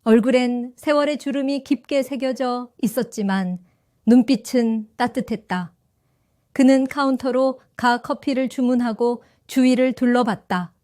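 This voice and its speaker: An older woman tells her story in a calm, reflective first-person narration. Her voice sits fairly high, and the recording is close and completely dry. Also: no echo. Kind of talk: scripted first-person audio drama narration.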